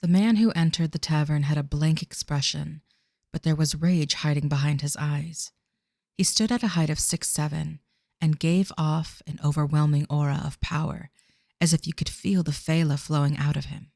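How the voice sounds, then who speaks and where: A woman's voice: deep voice, fairly even intonation, moderate pace, creaky, a little mellow, dry recording, studio quality. A woman narrating a scripted audio drama as a storyteller.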